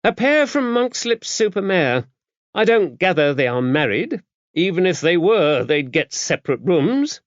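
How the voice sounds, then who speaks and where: A stern, large-framed older nun as a male audiobook narrator plays her, gruff and matter-of-fact. The voice is lifted well up in pitch and moves in lively swoops. She is brusque and worldly-wise. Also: unhurried, dry recording, muffled recording. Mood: disapproving. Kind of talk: audiobook character dialogue.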